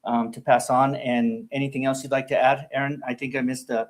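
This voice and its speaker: Man, school official, hesitant, mid pitch, meeting announcements. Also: flat delivery, fairly quick. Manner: hesitant, many restarts. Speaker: school superintendent running the meeting agenda. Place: school district boardroom.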